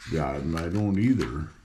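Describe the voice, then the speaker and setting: A man's voice: very deep voice, mellow, a little hiss or hum. An older man reads a children's story aloud in an informal, folksy way, with chatty asides and stumbles over words. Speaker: audiobook reader.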